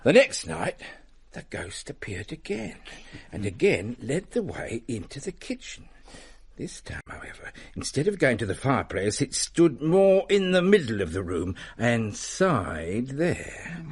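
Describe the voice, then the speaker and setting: An actor playing an excitable Victorian gentleman telling stories in a comic radio drama, husky and blustering. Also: medium pitch, unhurried, exaggerated voice. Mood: comic suspense. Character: jovial, excitable Victorian storyteller at a family party.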